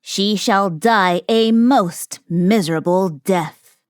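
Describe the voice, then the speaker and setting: A woman's voice: medium pitch, takes it slowly, clear, resonant tone, no echo, studio quality, natural voice. A woman voicing a stern, magical little dwarf man in a storybook, commanding and pronouncing. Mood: solemn cursing.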